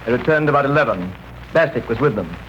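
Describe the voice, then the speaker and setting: An actor playing a police inspector undercover as a butler in a 1930s radio drama, deferential and matter-of-fact. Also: male voice, mid-range voice, lively intonation, fast, fairly bright tone, close to an everyday voice. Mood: dutiful reporting. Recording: muffled, noisy 1930s radio recording.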